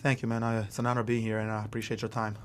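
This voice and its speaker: Fast-talking rambling man. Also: lowish voice, completely flat delivery, slight background noise. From Albania, raised in Philadelphia. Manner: rapid, rambling, many fillers. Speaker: MMA fighter guest. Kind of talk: casual podcast interview. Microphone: studio podcast mic.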